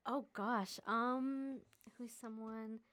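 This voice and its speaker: A teenage girl, a student guest on a school podcast, talking in a nervous, halting way with many fillers. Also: on the high side, fairly even intonation, a bit bright. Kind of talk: casual podcast chat.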